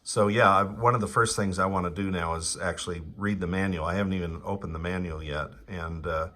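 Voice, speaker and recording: A man talking casually as an amateur radio operator, explaining gear technically in a halting, hesitant way. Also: sits low, fairly even intonation, sharp tone. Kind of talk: casual ham radio chat.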